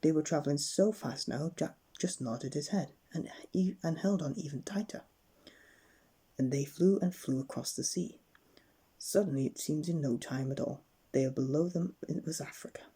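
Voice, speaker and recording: A woman reading a bedtime story aloud with expressive, dramatic narration. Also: low-pitched, normal speed, breathy edge.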